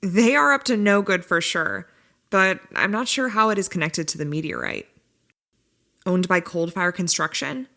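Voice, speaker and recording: A woman's voice: lowish voice, moderate pace, gravelly, sharp tone. A woman playing a keen, suspicious local newspaper reporter in an audio drama.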